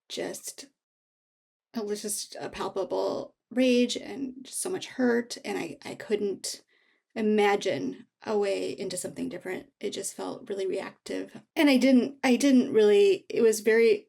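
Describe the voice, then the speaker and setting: A woman speaking reflectively as an interview guest, halting and thoughtful as she searches for her words. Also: mid-range voice, creaky, warm tone. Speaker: author, guest.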